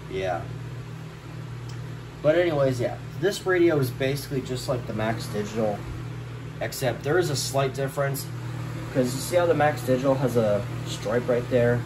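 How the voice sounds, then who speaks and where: A man with a fairly low voice talks casually and off the cuff, circling back and repeating himself as he goes. He is recorded indoors on a handheld camera, with a clear steady noise behind him. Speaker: hobbyist vlogger showing a radio collection. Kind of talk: casual unscripted showcase narration. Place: small indoor room. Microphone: handheld camera held by the speaker. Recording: steady noticeable hum of room noise.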